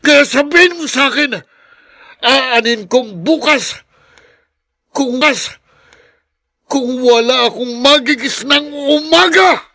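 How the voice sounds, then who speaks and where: A man's voice: very high voice, slow pace, long pauses, pushed and bright, heavily acted. An actor playing an embittered, despairing man long in prison, in melodramatic radio drama style. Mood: anguished outburst. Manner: brooding, anguished.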